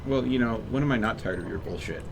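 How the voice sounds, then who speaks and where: A man's voice: lowish voice, expressive, quick pace, gravelly, background noise. A man livestreaming a video game in casual chat, rambling and thinking aloud as he plays. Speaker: live streamer playing a video game.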